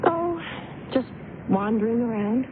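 Innocent young woman, radio play: high voice, sweet, naive, demure, on a noisy narrow-band recording. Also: expressive, measured pace. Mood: shy, guileless. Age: young adult. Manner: demure, naive, brief replies. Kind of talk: radio drama acting.

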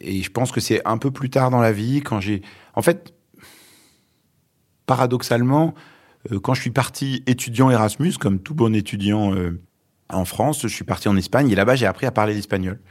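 A man in his forties, born in Lebanon, telling his own story in an interview with many pauses and hesitations. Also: medium pitch. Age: 40s. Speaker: author and journalist guest.

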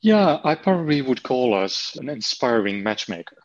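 A man's voice: mid-range voice, moderate pace, no echo, dull audio. A man from the Netherlands with a Dutch accent, chatting casually as an interview guest, with lots of fillers. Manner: relaxed, chatty, frequent fillers. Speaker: marketing executive guest. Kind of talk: conversational interview.

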